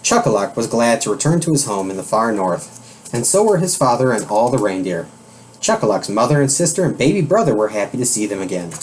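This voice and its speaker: A man reading a children's storybook aloud in a measured, expressive manner. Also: on the low side, a little hiss or hum, rough recording. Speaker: storybook reader.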